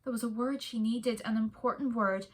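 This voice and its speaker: A woman reading a children's story aloud in an expressive, dramatic way with deliberate pauses. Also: fairly high pitch.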